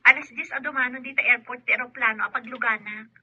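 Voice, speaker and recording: A woman's voice: on the high side, fairly even intonation, fast, slightly rough, dry recording. An actress playing a suspicious, determined wronged wife in a radio drama, pressing and accusatory as she talks. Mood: secretive, determined. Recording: dull telephone-like lo-fi sound.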